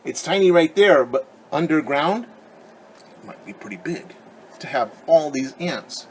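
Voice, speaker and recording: A man teaching young children, reading a picture book aloud and gently asking them questions. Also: on the high side, animated, a little slow, slight background noise, rough recording. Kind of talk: children's story time read-aloud.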